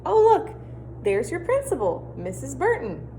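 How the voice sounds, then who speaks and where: Woman voicing coaxing storybook dad, very lively pitch. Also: measured pace, a little hiss or hum, heavily acted. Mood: coaxing, upbeat. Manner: coaxing, emphatic. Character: coaxing, patient but firm father, Mister Hartwell.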